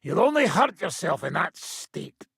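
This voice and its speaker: An actor playing a brash, hard-drinking medieval fighter and combat trainer in a video game, gruff and taunting, with a raspy, gravelly voice. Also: male voice, fairly high pitch, very animated, a little slow, a bit bright, no echo, clean studio sound, full character voice.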